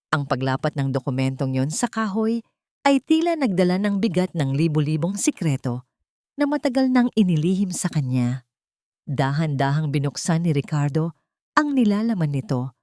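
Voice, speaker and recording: A woman's voice: low-pitched, darkish tone, dry recording, clean studio sound. A woman reading scripted, dramatic love-story narration for an audio drama.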